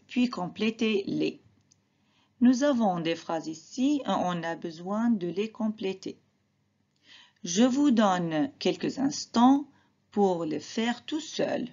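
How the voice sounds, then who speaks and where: A woman teacher slowly explaining and repeating examples to her students, talking to them directly. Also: mid-range voice, gravelly, a little mellow, muffled recording. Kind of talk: slow classroom explanation.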